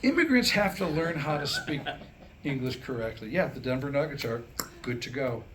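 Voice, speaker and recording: A man's voice: mid-range voice, lively intonation, moderate pace. A man telling jokes to live listeners in a conversational, wry stand-up style. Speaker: presenter telling jokes to a small group. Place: live staged reading before listeners. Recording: faint background noise.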